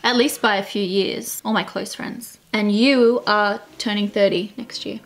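A woman's voice: medium pitch, steady tone, poor audio. A woman in her twenties with an Australian accent, chatting frankly and laughing while answering viewer questions beside her partner. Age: 20s. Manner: frank, laughing, quick and joking. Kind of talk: casual chat. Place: small indoor room in front of a plain wall.

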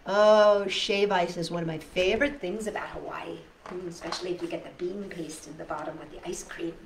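A middle-aged woman reading a picture book aloud to children, with dramatic pauses and chatty asides to her listeners. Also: lowish voice, vocal fry. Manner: animated, dramatic pauses, addresses young listeners. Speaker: storytime host reading picture books to children. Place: indoors in a living room. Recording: roomy, distant mic with background noise.

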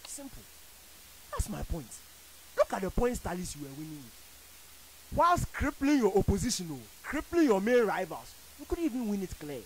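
A man's voice: high-pitched, huge pitch swings, normal speed, breathy edge, rough recording. A man with a Ghanaian accent, arguing football as a panelist on a radio studio show, emphatic and theatrical. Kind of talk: animated studio debate. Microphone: studio boom mic with headphones.

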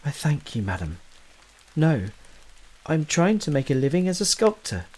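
A man's voice: a little high, sing-song, moderate pace, a little mellow, breathy edge, rough recording. A man playing an ardent young sculptor in a period audio drama, courtly and theatrical. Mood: gallant. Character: ardent young male sculptor, gallant and romantic.

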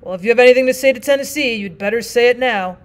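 A woman's voice: a little high, racing, close to an everyday voice. A woman doing a curt, impatient frontier judge. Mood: stern.

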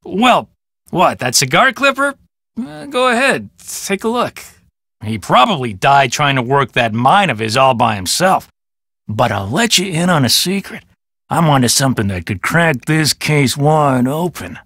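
An actor playing a cocky, self-important police detective in a video game, boastful, glib and condescending. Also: male voice, fairly high pitch, big pitch swings, unhurried, a bit bright, no echo, studio quality, exaggerated voice. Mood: smug, scheming. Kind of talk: video game character dialogue.

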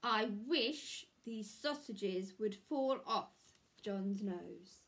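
A woman voicing a woodcutter's wife in a storybook, scolding but loving, emphatic and expressive. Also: mid-range voice, drawn-out words, heavily acted. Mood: resolved.

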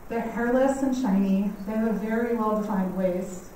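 A woman in her thirties with a quiet, slightly scratchy voice gives a friendly, chatty talk in a lecture hall, laughing often. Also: mid-range voice, normal speed. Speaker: guest speaker on native bees. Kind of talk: community lecture. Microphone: standing mic heard from a distance. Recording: echoey hall, mic far off, faint noise.

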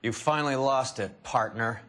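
An actor plays a smug, crooked male detective in a crime game. His mid-pitched voice is cynical, dismissive and sneering. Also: normal speed, a bit bright, faint music behind, natural voice. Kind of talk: dramatic period crime game acting.

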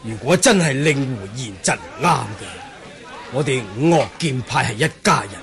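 An actor with a Hong Kong Cantonese accent playing a courteous, formal senior martial arts master in a radio drama. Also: male voice, medium pitch, animated, moderate pace, vocal fry, fairly bright tone, a faint crowd. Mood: conciliatory. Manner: formal, diplomatic. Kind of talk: 1980s radio drama acting.

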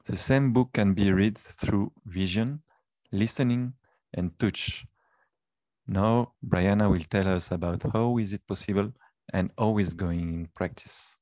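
Deep voiced guy presenting webinar slides, non-native english phrasing, very dry room. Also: measured pace, darkish tone, no echo, rough recording. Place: indoors, webinar call.

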